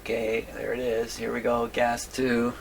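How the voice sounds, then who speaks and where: A man's voice: mid-range voice, monotone, measured pace. A man hosting a hands-on electronics repair video in his workshop, casually talking through his work and muttering to himself. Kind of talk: casual hands-on demonstration, thinking aloud. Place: home radio workshop. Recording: clear background noise.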